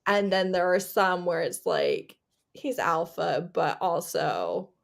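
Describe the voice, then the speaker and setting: A woman's voice: on the low side, very animated, slow, creaky. A woman in a casual book chat, talking emphatically and peppering her speech with "like" and "you know". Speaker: book discussion panelist.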